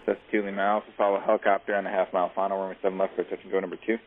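Man, rapid-fire controller talk, tinny radio, faint static. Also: deep voice, level tone. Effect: aviation radio. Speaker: air traffic controller.

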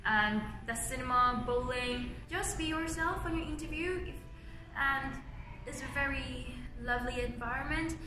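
A woman's voice: sits high, moderate pace, music in the background. A young woman in her twenties from Thailand, speaking English with a Thai accent, earnestly answering interview questions as a medical student. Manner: earnest, plain-spoken, slightly non-native grammar. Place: indoors by large windows, university building. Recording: roomy echo with clear ambient noise.

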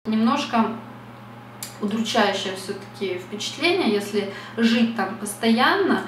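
A woman's voice: fairly high pitch, lively intonation, measured pace, reverberant, recorded from a distance, a little hiss or hum. A young woman from Russia talking casually to camera at home, reflective and chatty, with many fillers. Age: young adult. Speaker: beauty vlogger. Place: small bright room at home.

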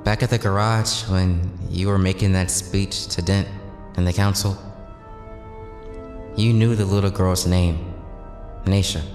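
An actor playing a bold, idealistic young vigilante in an audio drama, casual, teasing and earnest. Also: male voice, low voice, steady tone, a little slow, long pauses, darkish tone. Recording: echoey reverberant hall, music underneath.

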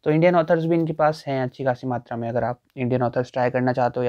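A man's voice: mid-range voice, mellow, dry recording, highs cut off. A young man casually walking through a bookstore, chatting and thinking aloud as he browses the shelves. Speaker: booktuber. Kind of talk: casual walkthrough vlog. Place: small indoor bookstore with packed shelves.

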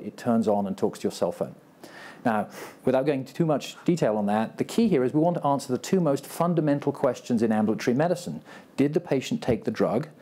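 A man in his fifties with a Texan accent, speaking briskly and self-deprecatingly as a business panelist on a large conference stage. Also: mid-range voice, warm tone. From Texas. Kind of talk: panel discussion remarks. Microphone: lapel mic.

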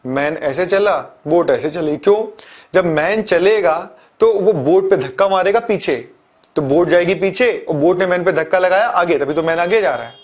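Man in his thirties, high voice, physics teacher at a board, step-by-step, lapel mic. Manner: explains step by step, asks and answers himself. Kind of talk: classroom lecture. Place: studio in front of a large touchscreen board.

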